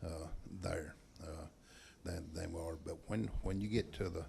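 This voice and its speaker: A man on a school board, speaking haltingly and repeating words. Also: deep voice, steady tone, gravelly, dark tone, a little hiss or hum. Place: school board meeting room.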